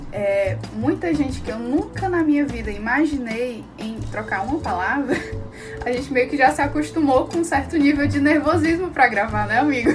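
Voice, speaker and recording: A woman hosting a Brazilian podcast, chatting casually and excitedly, laughing often. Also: high voice. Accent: Brazilian Portuguese. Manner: warm, giggly, excitable. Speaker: podcast host.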